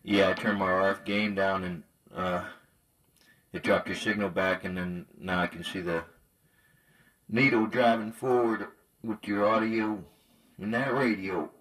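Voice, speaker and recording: A man's voice: low voice, steady tone, unhurried, fairly bright tone, rough recording. A man chatting casually about radio gear in a laid-back, colloquial way. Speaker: CB radio hobbyist.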